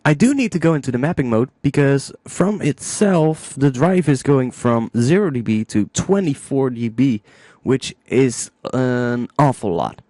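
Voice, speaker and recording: Man, mid-pitched, animated intonation, informal step-by-step software tutorial, thinking aloud, very dry close recording. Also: measured pace, no echo. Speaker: music production tutor.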